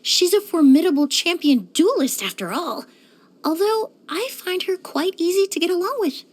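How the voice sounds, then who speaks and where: An actress voicing a poised young woman perfumer in a video game, speaking in a measured, articulate and refined way. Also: extremely high pitch, lively intonation. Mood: fond, appreciative. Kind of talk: scripted video game character monologue.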